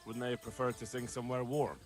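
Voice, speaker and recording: A man's voice: mid-range voice, fairly even intonation, fast talker, over music, close to an everyday voice. A man playing a blunt, sarcastic young medieval peasant in game dialogue, sceptical and impatient with what he hears.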